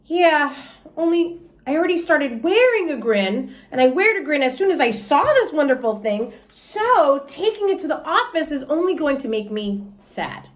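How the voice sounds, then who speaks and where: A woman reading a children's storybook as an excitable, stubborn little girl, with an emphatic, childlike way of talking. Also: sits high, normal speed, pitched above the performer's own voice. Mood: dismayed, sulky. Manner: childlike grammar, emphatic, self-justifying. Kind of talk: children's storybook read aloud.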